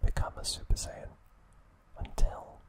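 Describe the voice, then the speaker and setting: Male, ASMR host, breathy whisper, slow unhurried pace, soft deliberate trivia narration.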